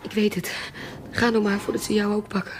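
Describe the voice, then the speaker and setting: A woman's voice: mid-range voice, flat delivery, brisk, natural voice. An actress playing a remorseful, resigned woman swindler condemned to die, in a Dutch radio drama. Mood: tearful regret.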